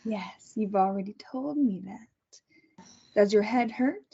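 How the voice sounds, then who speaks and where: A woman voicing a calm, clinical woman who holds a captive and experiments on her, reading in a table read. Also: medium pitch, a little slow, vocal fry, husky. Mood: cold. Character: coolly menacing woman doctor-kidnapper Beth. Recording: dull lo-fi recording.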